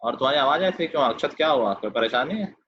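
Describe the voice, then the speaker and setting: A man's voice: mid-range voice, lively intonation, fast, a bit bright, a little hiss or hum. A male teacher running an online math class and teasing his students. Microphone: video call. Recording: lo-fi video call.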